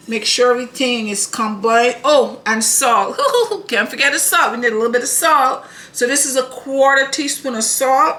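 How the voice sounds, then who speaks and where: A woman from Barbados hosts a home cooking demonstration, talking to her viewers in a chatty, affectionate way and often checking in with them. Her rides on a bright, fairly high voice. The kitchen is echoey, and pots and dishes clatter in the background. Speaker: cooking channel host.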